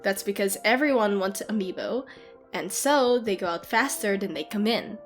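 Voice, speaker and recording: Woman acting as excitable nerdy gamer girlfriend, music behind. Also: mid-range voice, moderate pace, lightly acted. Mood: nerdy, explaining. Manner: giggly, playfully ranting, animated casual talk. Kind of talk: audio roleplay, casual one-sided chat to a partner. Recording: background music under the voice. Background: game-like ambient music.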